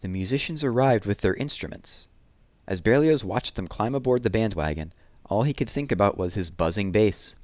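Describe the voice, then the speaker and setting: Male children's read-aloud host, lower register, expressive and deliberate, conversational asides, dry close sound. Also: no room sound. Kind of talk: storytelling read-aloud of poems and picture books.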